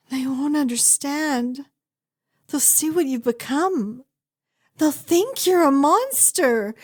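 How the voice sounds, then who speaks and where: Woman acting a high-voiced, tender fantasy huntress. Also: a little mellow. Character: fierce but tender young huntress reunited with her lost friend. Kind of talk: ASMR fantasy roleplay, scripted monologue.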